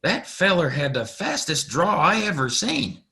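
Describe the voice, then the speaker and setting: A man acting a good-natured, nervous American cowboy sidekick in a Western audio play, folksy and excitable with a bit of a stammer. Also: on the high side, unhurried, creaky, exaggerated voice. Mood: eager, teasing.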